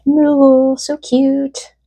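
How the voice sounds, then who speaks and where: A woman hosting a relaxed let's-play while knitting, chatting in a rambling way and laughing often. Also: high-pitched, drawn-out words, dry recording. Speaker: gaming and crafting channel host. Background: faint game music.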